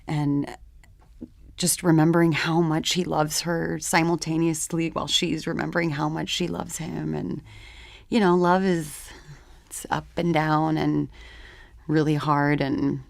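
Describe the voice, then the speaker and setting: Low, creaky-voiced woman. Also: flat delivery, unhurried, no room sound. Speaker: panelist discussing a play. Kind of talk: casual discussion.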